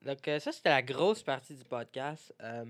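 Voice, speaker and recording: A man's voice: mid-range voice, lively intonation, normal speed, a bit bright. Young adult man, mid-range voice, French Canadian accent, informal, conversational podcast co-hosting. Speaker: podcast co-host. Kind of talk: casual podcast chat.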